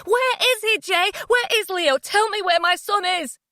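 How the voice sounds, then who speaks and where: A woman's voice: high-pitched, expressive, measured pace, fairly bright tone, no room sound, clean studio sound, pitched above the performer's own voice, heavily acted. A woman voicing a desperate young mother who screams demands and pleads. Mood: frantic.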